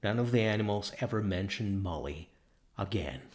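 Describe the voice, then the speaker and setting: A man reading a literary story as an audiobook narrator, with measured, deliberate, dramatic phrasing. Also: fairly low pitch, lively intonation, darkish tone.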